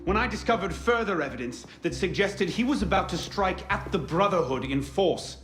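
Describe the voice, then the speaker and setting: A man's voice: sits high, lively intonation, moderate pace. Determined young male assassin in an echoing room. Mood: contrite, earnest. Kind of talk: video game cutscene acting. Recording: roomy echo under loud music.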